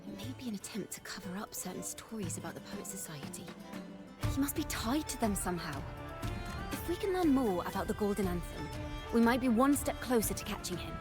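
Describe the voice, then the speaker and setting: A woman's voice: mid-range voice, sing-song, a little fast, natural voice. A woman voicing a cheerful, poetic young female bard in a video game, with a theatrical, whimsical, lyrical delivery. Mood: earnest, hopeful. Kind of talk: scripted video game voice acting. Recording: game music behind the voice. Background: background music.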